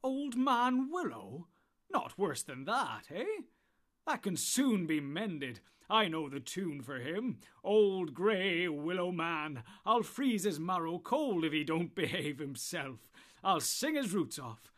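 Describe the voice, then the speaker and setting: A man voicing a jolly, booming old man of the woods in an audiobook, with a loud rustic sing-song manner and drawn-out words. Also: very animated, heavily acted. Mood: boisterous. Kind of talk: audiobook character voice.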